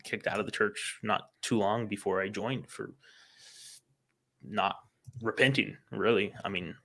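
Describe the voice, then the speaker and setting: A young man in his late teens talks in a casual group discussion, pausing often with fillers and restarts. His voice sits low, with a bright, forceful edge. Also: fairly even intonation, creaky. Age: young adult. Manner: hesitant, many fillers and restarts. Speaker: podcast guest.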